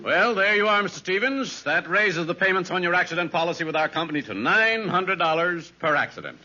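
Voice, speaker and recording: A proper, businesslike insurance man speaks in a high, very forceful voice. He is formal and polite, in a muffled, noisy vintage radio sitcom. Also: animated, a little fast, soft background music, full character voice. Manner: formal, emphatic. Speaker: sitcom actor. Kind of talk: 1940s-50s radio comedy acting.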